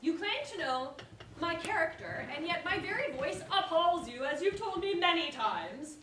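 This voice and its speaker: A woman's voice: extremely high pitch, sing-song, moderate pace, fairly bright tone, full character voice. A woman playing a witty, proud, wounded young bride in a staged verse comedy, declaiming with sardonic bite. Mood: scornful, challenging. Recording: roomy, distant stage recording with faint noise.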